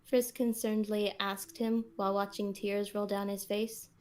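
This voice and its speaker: A woman's voice: mid-range voice, little pitch movement, normal speed, darkish tone. A woman on a podcast, reading scripted story narration and chatting casually with the group.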